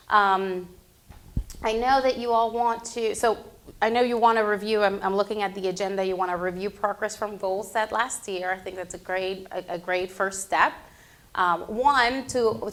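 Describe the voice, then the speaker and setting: Middle-aged woman, mid-pitched voice, meeting facilitator from Puerto Rico. Conversational and encouraging. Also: a bit bright. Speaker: meeting facilitator, municipal association field service manager. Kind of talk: informal introductory remarks to a council meeting.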